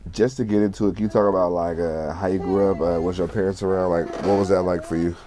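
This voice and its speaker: A man's voice: sits low, fairly even intonation, fast talker, a crowd in the background. A man hosting a casual interview, asking questions in an easygoing way and often answering with short agreeing replies. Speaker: interview host.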